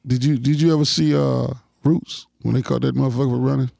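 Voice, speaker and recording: A man with an American accent and a gravelly, husky voice, hosting a casual podcast with blunt, profane street slang. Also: mid-range voice, fairly even intonation, fairly quick, dark tone, no room sound, clean studio sound. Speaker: podcast host.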